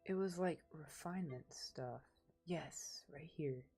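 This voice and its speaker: Low-voiced woman streaming a game over music. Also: slow pace, mellow, slightly rough. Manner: informal, muttering, thinking aloud. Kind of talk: casual gameplay commentary.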